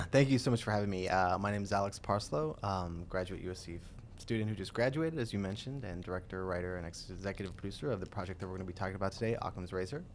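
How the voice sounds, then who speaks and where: A man's voice: deep voice, fast talker, a little hiss or hum. A young man in his twenties answering as a studio guest, explaining eagerly. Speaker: guest filmmaker. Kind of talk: interview answers.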